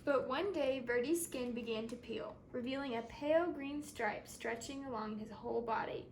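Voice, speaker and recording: High-voiced woman reading a children's story. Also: moderate pace, darkish tone, slight background noise. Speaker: storybook reader. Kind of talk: expressive scripted children's story narration.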